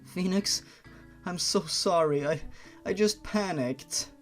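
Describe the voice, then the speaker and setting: A man's voice: high voice, slow, soft background music, voice pushed up. A man voicing a distressed young princess held captive in a fan fiction story, pleading and guilt-ridden. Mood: apologetic. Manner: pleading, apologetic. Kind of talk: creepypasta fan fiction character reading.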